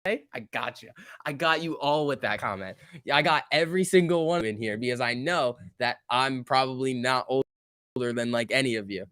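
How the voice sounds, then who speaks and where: Bright-voiced young man livestreaming. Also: mid-range voice, moderate pace, smooth, no echo, studio quality. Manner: informal, joking, bantering with viewers. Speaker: livestream host. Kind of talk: casual livestream chat about stock trading, reading out and answering viewer comments.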